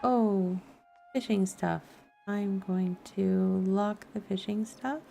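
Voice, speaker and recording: Slow, gravelly woman musing while gaming. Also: mid-range voice. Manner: musing aloud to herself. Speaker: game streamer. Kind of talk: casual let's play commentary. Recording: faint music behind the voice. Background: soft background music.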